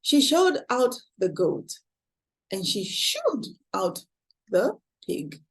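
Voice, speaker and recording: A woman with a Kenyan accent reading a picture book aloud to children, with expressive exclamations. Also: a little high, extremely slow. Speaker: storytime host.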